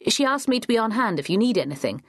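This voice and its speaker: A woman's voice: fairly high pitch, very animated, fast, no room sound, voice pushed up. A woman voicing a polite, patient dog owner who raises her voice to be heard.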